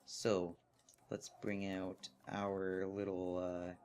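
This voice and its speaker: A young man giving casual gameplay commentary, thinking aloud with fillers, his voice a little hoarse. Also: low-pitched, monotone, extremely slow, a little mellow. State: slightly hoarse. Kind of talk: casual let's play commentary.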